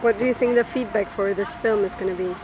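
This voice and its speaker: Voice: medium pitch, steady tone, fast talker. An off-camera interviewer putting questions in a conversational way. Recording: loud outdoor background noise.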